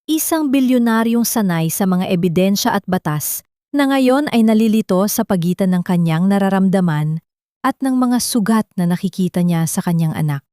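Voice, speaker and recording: A woman narrator reads a scripted drama at a medium pitch. Her delivery is solemn and melodramatic, like formal storytelling. The recording is dry and close. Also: expressive, normal speed, warm tone, dry recording.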